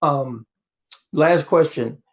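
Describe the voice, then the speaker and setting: A man's voice: fairly high pitch, extremely slow. A man co-hosting a casual interview, with a playfully theatrical way of putting questions. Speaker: interviewer, podcast co-host.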